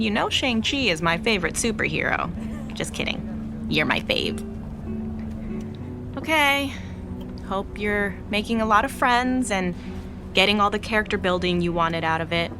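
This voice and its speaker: A woman playing a playful, affectionate young woman in a naturalistic, conversational audio drama. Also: mid-range voice. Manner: teasing, conversational.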